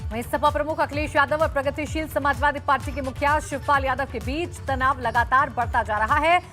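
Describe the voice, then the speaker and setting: Bright, high-pitched woman news anchor. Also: fairly quick. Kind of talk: broadcast news narration. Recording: music bed behind the voice.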